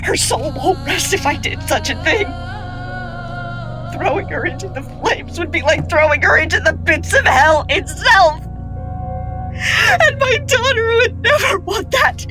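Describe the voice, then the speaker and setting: An actress as a bereaved Victorian mother in a radio play, defiant and anguished. Her high voice swings widely and moves slowly, with music behind it. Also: expressive, low-quality recording. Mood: anguished refusal. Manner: anguished, emotionally theatrical delivery. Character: grief-stricken Victorian mother, defiant, clinging to her dead daughter. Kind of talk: dramatic audio drama acting.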